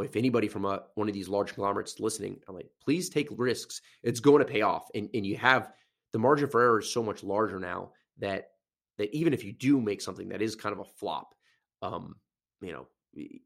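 A man's voice: lowish voice, a little fast, vocal fry. A man hosting a podcast alone, sounding nasal while getting over a sinus infection, talking casually with many fillers and restarts. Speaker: podcast host. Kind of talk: casual solo podcast talk.

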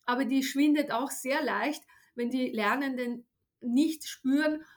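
A woman's voice: high voice, measured pace. A middle-aged woman, a native speaker of Italian, explains as an expert in an interview, emphatic and didactic, often tagging her points with a short check word. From Italy. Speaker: neuroscientist and language teacher, expert guest.

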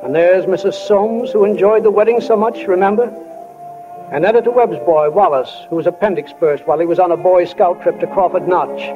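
An actor playing a folksy, reflective small-town storyteller in a 1940s radio play, musing and homespun. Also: male voice, high-pitched, music in the background, close to an everyday voice. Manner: homespun, musing, unhurried, addresses listeners as friends. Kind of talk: 1940s radio acting, narration. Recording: tinny old 1940 radio broadcast.

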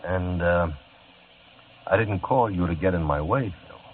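An actor playing a gruff, wary male police detective who speaks in warnings, in 1950s radio drama style. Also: low-pitched, a little slow. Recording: tinny old radio broadcast.

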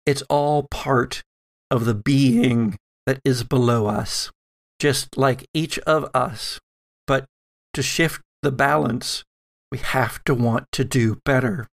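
A man playing a solemn, weary old grandmother who speaks gravely and haltingly in a tabletop roleplaying game. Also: mid-range voice. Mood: solemn pleading. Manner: slow, grave, halting delivery.

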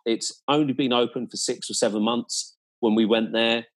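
A man's voice: mid-range voice, fairly even intonation, moderate pace. A man in a casual, conversational chat, recounting his experiences as a guest in an enthusiastic, descriptive and emphatic way.

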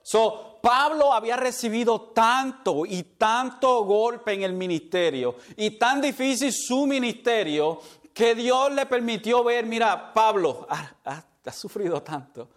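A man preaching a sermon as pastor, slipping in English words and laughing at his own stumbles. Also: high voice.

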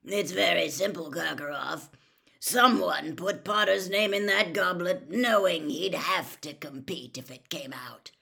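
Storybook role: menacing old wizard-hunter played by a woman, gravelly growl, slow clipped phrasing, sardonic and distrustful. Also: lowish voice, sharp tone, heavily acted. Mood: quietly menacing. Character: gruff suspicious old ex-dark-wizard-hunter man, menacing.

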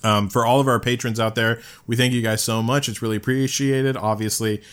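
A man's voice: mid-range voice, little pitch movement, fairly quick, a bit bright, clean tone. A man hosting a podcast, chatting casually, rambling with lots of fillers and laughing often. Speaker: podcast host.

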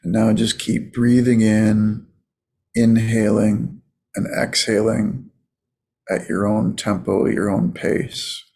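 A man leading a guided meditation as a coach, in a soothing, casual, unhurried manner. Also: fairly low pitch, little pitch movement, vocal fry, a little mellow.

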